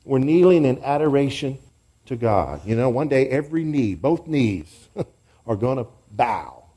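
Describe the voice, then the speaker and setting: A man's voice: mid-range voice, sing-song, unhurried, breathy edge. Man preaching, leading call-and-response. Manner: call-and-response, leads congregation in shouts. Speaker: pastor. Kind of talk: sermon teaching.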